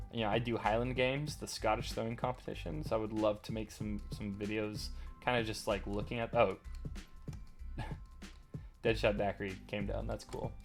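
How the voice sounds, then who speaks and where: Low guy with a gravelly voice, slight stutter, rambly gamer chat, faint music behind. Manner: rambling, halting, many fillers and restarts. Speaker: gaming channel host. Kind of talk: casual commentary while playing. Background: faint lo-fi music and keyboard clicks.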